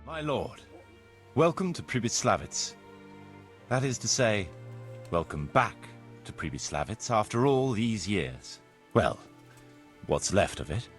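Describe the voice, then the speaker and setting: An actor playing a formal, courtly medieval land surveyor in a video game, speaking precisely and deferentially. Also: male voice, on the low side, very animated, unhurried, pauses often, faint music behind. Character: formal, courtly medieval locator Marius, eager planner and surveyor. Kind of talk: video game cutscene acting.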